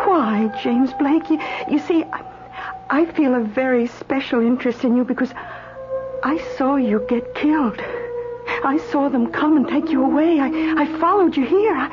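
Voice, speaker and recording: High-pitched radio drama woman, earnest and hesitant, muffled noisy vintage recording. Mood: tearful pleading. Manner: hesitant, repeating words, pleading. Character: gentle, earnest woman keeping watch over a dead man.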